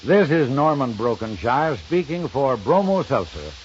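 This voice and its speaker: A man reading a folksy, emphatic sales pitch as a 1950s radio announcer. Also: big pitch swings, unhurried, a little hiss or hum. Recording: dull old 1950s radio recording.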